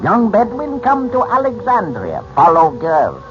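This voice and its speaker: Sly, high-voiced Egyptian shopkeeper. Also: male voice, huge pitch swings, full character voice. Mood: dramatic storytelling. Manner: halting, obsequious broken English. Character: sly, fawning Egyptian shopkeeper, secretly scheming. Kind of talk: 1940s radio acting. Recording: muffled old radio recording with music.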